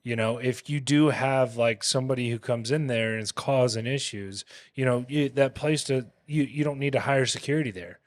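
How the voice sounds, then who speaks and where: A man co-hosting a podcast, telling bar stories in a relaxed, rambling way with restarts. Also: medium pitch, normal speed, hardly any pauses. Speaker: podcast co-host. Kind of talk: casual podcast chat.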